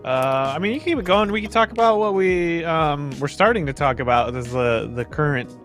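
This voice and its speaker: A young man chats informally in a somewhat high voice. He sounds amused and a little hesitant, with faint music underneath. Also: a little fast, a bit bright. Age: young adult. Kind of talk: casual chat.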